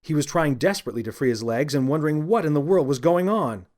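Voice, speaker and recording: A man narrating a scripted children's story in the first person, with an expressive, confiding storyteller manner. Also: on the high side, fast talker. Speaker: audiobook narrator and author.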